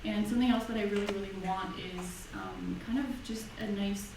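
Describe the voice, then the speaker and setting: An earnest young woman, a student candidate, pauses on ums as she makes her case. She is far from the mic in a very echoey hall with audible background hum. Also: medium pitch, fairly even intonation, creaky. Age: young adult. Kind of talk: debate answer. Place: university lecture hall.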